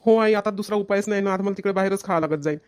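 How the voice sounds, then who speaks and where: Man voicing a very fast-talking, put-upon son. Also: high voice, darkish tone, clear, resonant tone, natural voice. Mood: resigned. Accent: Vidarbha Marathi. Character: put-upon, defensive married adult son. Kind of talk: amateur cartoon dialogue.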